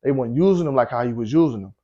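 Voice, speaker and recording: A man's voice: animated, rapid-fire, dark tone, lo-fi sound. Laid-back young man from Milwaukee. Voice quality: deep voice. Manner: laid-back storytelling with slang and frequent fillers. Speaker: guest rapper. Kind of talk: casual interview chat.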